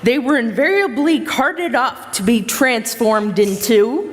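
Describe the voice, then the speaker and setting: Slow, high-voiced woman narrator. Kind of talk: scripted storybook narration for a stage play. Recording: reverberant hall.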